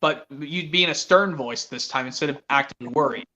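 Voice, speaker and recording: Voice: mid-range voice, bright, forceful tone. A stream host chatting casually on a video call, often stopping and restarting sentences. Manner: halting, many restarts.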